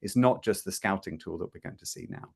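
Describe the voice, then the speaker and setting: A man's voice: low-pitched, quick pace, creaky, darkish tone, slightly rough. Chatty middle-aged man on a video call. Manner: chatty, with frequent fillers and restarts. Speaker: host presenting a product demo. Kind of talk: casual live presentation. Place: home room.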